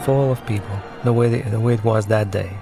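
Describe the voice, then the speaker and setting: A man giving unscripted commentary on his own film, talking in a conversational, reflective and slightly halting way. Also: fairly low pitch, fairly even intonation, creaky. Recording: film soundtrack music playing behind. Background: film soundtrack music.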